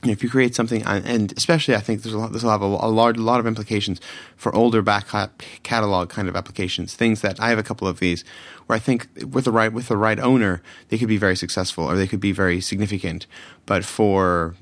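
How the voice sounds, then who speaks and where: A man hosting a solo tech podcast, his voice slightly raspy from yelling, rambling and often correcting himself. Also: fairly low pitch, steady tone, fast talker, no echo. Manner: rambling, self-correcting, many fillers. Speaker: podcast host.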